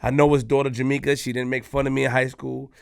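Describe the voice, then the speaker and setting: A man co-hosting a podcast, talking in loud, animated, streetwise banter full of restarts. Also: mid-range voice, quick pace, gravelly, clear, resonant tone, dry recording, studio quality. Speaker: podcast co-host. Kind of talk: casual chat.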